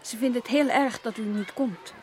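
Een actrice die in een hoorspel een beleefde maar volhardende maatschappelijk werkster speelt. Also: female voice, a little high, normal speed, background noise, close to an everyday voice. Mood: polite, persistent. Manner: courteous, insistent. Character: polite, persistent female social worker. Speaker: radio drama actor. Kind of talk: Dutch radio play dialogue.

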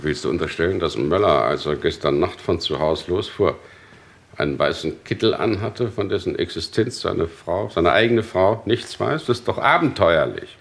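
A probing police detective in a radio crime play. His voice sits fairly low and swings widely in pitch as he questions. He is methodical and polite, yet keeps pressing. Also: expressive, normal speed, creaky. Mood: skeptical. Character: probing, skeptical male police detective leading an investigation. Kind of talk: German radio crime drama acting.

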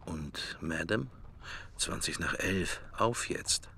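A man's voice: low-pitched, expressive, takes it slowly, vocal fry, bright, forceful tone, husky, exaggerated voice. An actor playing a wistful middle-aged man musing inwardly in clipped fragments, in a literary radio drama. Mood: hushed, wistful.

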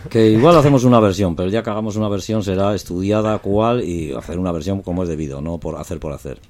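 A man's voice: sits low, fast, darkish tone. A middle-aged man, a band's drummer, chatting and joking in a radio interview. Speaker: band drummer.

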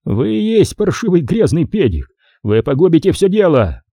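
Casting: male reader as impatient audiobook thug, coarse and exasperated, slightly raised voice with lively swings. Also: a little high, a little fast, husky, no echo, studio quality, voice pushed up, exaggerated voice. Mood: furious. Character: hot-tempered male gangster, coarse and impatient.

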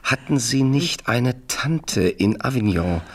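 An actor playing a suave, smug French marquis in a radio play, speaking with measured, mock-courteous politeness. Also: male voice, mid-range voice, lively intonation, slightly rough. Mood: smug needling. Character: suave, mocking French marquis acting as financial adviser. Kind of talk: 1970s German radio play acting.

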